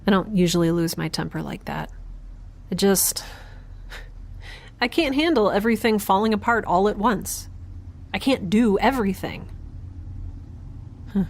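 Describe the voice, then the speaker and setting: A woman's voice: mid-range voice, animated, measured pace, vocal fry, pauses often. A woman playing a weary, overwhelmed young scientist in a science fiction audio drama, speaking a hesitant, wry and confiding diary monologue. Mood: apologetic, tired. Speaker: narrator and lead of an audio drama.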